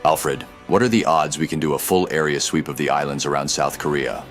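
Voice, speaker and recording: Vigilante superhero, extremely low gruff voice, grim, commanding, terse, determined. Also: male voice, steady tone, brisk, soft background music.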